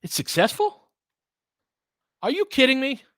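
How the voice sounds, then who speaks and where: A man's voice: very high voice, wildly swooping pitch, unhurried, a bit bright, no room sound. Casual man calling a game, dry close sound. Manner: informal, conversational, chatting with listeners. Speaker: live audio play-by-play host. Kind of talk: casual fan play-by-play commentary and analysis.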